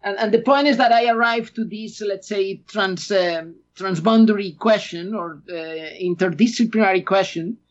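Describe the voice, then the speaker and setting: A high-voiced, middle-aged man with a Spanish accent answers podcast questions with frequent restarts and fillers. His audio sounds a little dull and lo-fi, like a remote call. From Spain. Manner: halting, many restarts and fillers. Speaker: guest. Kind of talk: podcast interview.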